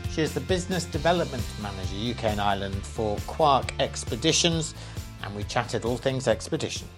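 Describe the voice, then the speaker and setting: A man hosting a podcast, introducing and interviewing a guest. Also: medium pitch. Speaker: podcast host.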